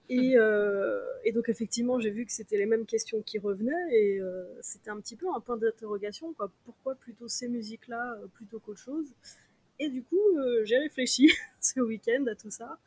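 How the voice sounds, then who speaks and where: Woman with a husky voice, thinking out loud, lots of uhs. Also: medium pitch. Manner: thoughtful, hesitant, many fillers. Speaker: guest, concert organizer. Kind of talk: casual podcast interview.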